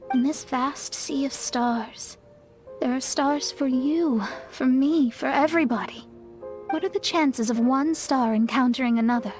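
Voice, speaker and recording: A woman playing an earnest, wistful young astrologer in a video game, speaking in a measured, theatrical way. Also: sits high. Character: earnest young female astrologer, proud, wistful believer in fate. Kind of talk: dramatic video game dialogue. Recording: game music behind the voice. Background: background music.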